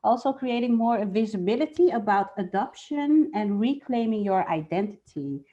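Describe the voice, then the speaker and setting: A woman with a mid-pitched voice hosts a live event, introducing speakers casually. The recording is somewhat dull and lo-fi. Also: animated, moderate pace, dark tone. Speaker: host introducing team members.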